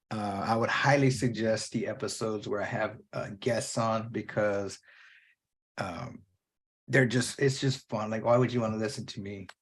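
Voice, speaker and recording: A man hosting his own movie podcast alone, talking off the cuff in a loose, rambling way and swearing freely. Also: medium pitch. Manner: casual, unscripted, profane, jumps between thoughts. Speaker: podcast host. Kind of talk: rambling solo podcast talk.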